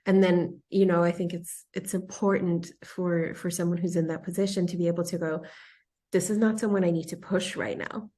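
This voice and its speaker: A woman in her thirties, a yoga teacher interviewing a friend, telling stories in a casual, animated way and often laughing. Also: lowish voice, normal speed. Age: 30s. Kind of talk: casual conversational storytelling.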